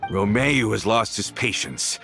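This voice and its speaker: An actor playing a bitter, vengeful gangster in a video game, with a gravelly voice and a menacing, theatrical, brooding manner. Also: male voice, lowish voice, expressive, unhurried, fairly bright tone, soft background music, exaggerated voice. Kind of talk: scripted video game voice acting.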